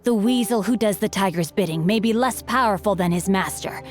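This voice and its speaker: A woman's voice: medium pitch, brisk, soft background music, close to an everyday voice. A woman voicing a polite, studious and chivalrous young male swordsman in a video game, speaking in an eloquent, formal, theatrical way. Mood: righteous.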